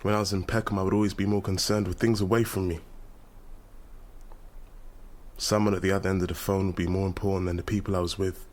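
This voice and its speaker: A man's voice: sits low, little pitch movement, racing, a little mellow, close to an everyday voice. An actor playing a bitter, defiant young man, a proud activist son who speaks in an accusatory, declamatory way in a radio drama. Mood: resolute. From London, England. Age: young adult. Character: defiant, bitter grown son turned Nigerian oil-rights militant.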